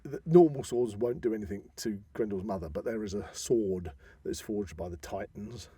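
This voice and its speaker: A man's voice: fairly low pitch, normal speed, dark tone, breathy edge, no echo. A man co-hosting a podcast, chatting casually about films and often interrupting himself as he rambles. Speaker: podcast co-host; actor. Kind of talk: casual film discussion.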